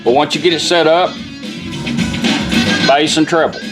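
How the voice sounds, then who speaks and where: A man's voice: a little high, fairly even intonation, measured pace. A man giving an informal, folksy hands-on product demonstration, explaining each step in an instructional way. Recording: music playing behind the voice.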